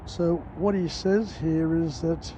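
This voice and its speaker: A man with a hoarse, croaky voice, vlogging on a walk outdoors at dawn and summarising a book slowly in short phrases. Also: on the high side, fairly even intonation, mellow. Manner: slow and deliberate, in short phrases. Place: outdoors on a city street at dawn. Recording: muffled outdoor phone audio with traffic.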